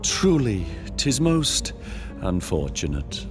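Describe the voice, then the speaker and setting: A man's voice: fairly low pitch, sing-song, takes it slowly, over loud music. A man playing a sinister, gloating servant of death in a video game, with archaic, mocking, theatrical delivery. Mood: smug, sinister.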